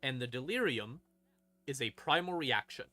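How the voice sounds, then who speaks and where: A man streaming an informal lore explainer as a storyteller, with a theatrical, halting delivery and dramatic pauses. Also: mid-range voice, animated, pushed and bright, no echo. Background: eerie ambient music.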